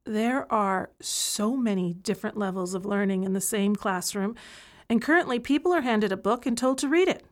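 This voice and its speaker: A woman's voice: mid-range voice, moderate pace, close to an everyday voice. An actress playing an incarcerated woman who tutors other prisoners, speaking frankly and earnestly in an audio play monologue. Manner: earnest, frank, building to a plea for change.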